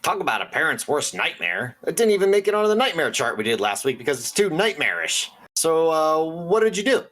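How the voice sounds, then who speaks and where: An actor playing an earnest small-town radio host who speaks in a conspiratorial, hesitant way with dry asides. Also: male voice, fairly high pitch, big pitch swings, a little fast, a bit bright. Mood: sympathetic. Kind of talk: audio drama radio call-in hosting.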